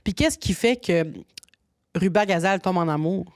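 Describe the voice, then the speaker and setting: A woman hosting a podcast, asking questions in a casual, encouraging way and quickly agreeing. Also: lowish voice, dry recording. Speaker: podcast host.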